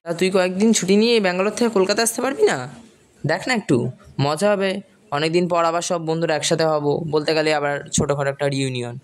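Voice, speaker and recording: Man acting a fast-talking chummy young friend. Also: on the high side, lightly acted. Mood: coaxing. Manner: colloquial, coaxing. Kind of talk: dramatized story dialogue.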